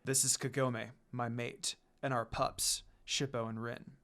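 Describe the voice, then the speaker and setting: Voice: medium pitch, little pitch movement, measured pace, a bit bright, voice pushed down. A reader voicing a cold, curt and aloof male dog demon in a story. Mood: formal.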